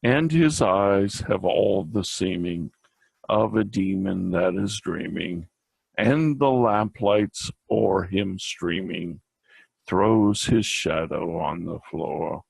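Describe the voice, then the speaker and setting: Slow, gravelly man reciting dark verse. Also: deep voice, no echo. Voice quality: gravelly, husky. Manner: slow, theatrical, with drawn-out pauses and emphatic repeats. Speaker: amateur reader of a classic poem. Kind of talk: dramatic poetry recitation.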